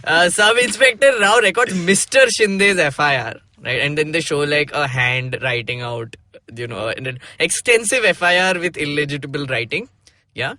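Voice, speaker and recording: A man's voice: on the high side, expressive, moderate pace, bright, forceful tone, dry recording. A man with an Indian accent co-hosting a podcast, chatting casually and narrating a comic.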